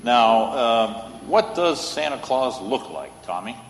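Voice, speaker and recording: A man's voice: mid-range voice, sing-song, slow pace. Man acting a patient lawyer in an echoey hall. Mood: patient, kindly. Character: patient, gentle male defense lawyer, sometimes hesitant. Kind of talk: old-time radio play acting.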